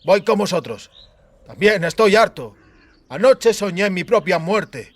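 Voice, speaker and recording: Guy doing a scared fed-up soldier, urgent, pitched up, fantasy audiobook. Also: lively intonation, unhurried, dry recording. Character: fearful, fed-up soldier deserting a rotten cause.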